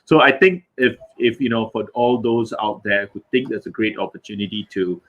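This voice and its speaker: A man with a husky voice, co-hosting and introducing a guest in an enthusiastic, halting way. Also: lowish voice, highs cut off. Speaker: co-host.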